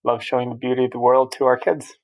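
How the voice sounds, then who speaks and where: A man's voice: mid-range voice, level tone, quick pace. A man in his thirties from Albania, a podcast guest talking casually and telling his story steadily. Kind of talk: casual interview chat.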